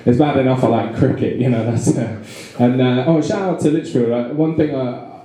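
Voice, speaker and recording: A young man with a London accent works a pub crowd, rambling through his stand-up with fillers and false starts. His voice is mellow and low in brightness. The room is very echoey. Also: normal speed. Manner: rambling, self-deprecating, many fillers and restarts. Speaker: stand-up comedian. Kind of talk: stand-up comedy set. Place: small pub comedy room with a live audience. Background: audience laughter.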